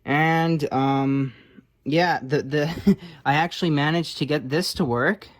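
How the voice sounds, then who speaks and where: A man's voice: fairly high pitch, takes it slowly. A man giving casual, unscripted commentary on his own hands-on repair, offhand and thinking aloud as he works. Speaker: hobbyist restorer presenting his own repair video.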